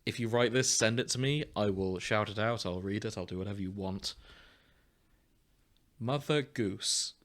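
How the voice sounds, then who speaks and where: Low-pitched man, jokey podcast host. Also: normal speed, fairly bright tone. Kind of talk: casual banter with co-hosts.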